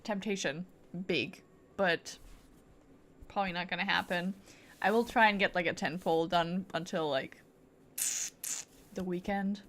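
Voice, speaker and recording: A woman's voice: fairly low pitch, a little slow, pauses often, a bit bright. A woman chatting casually as she streams a game, thinking aloud and correcting herself in a playful, rambling way. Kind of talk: casual livestream chat while gaming.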